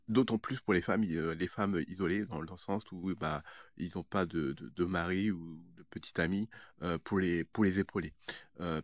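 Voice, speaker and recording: A man with a fairly low voice, recorded close and dry. He rambles informally to his viewers, halting often and repeating words as he searches for the next one. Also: dry recording. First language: French. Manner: hesitant, halting, with frequent stammered word repetitions. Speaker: vlogger. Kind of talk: casual rambling monologue to viewers.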